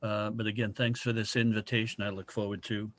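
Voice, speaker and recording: A man's voice: on the low side, flat delivery, fairly quick, fairly bright tone. A man with a New Jersey accent, a guest speaker making friendly, joking small talk over a video call.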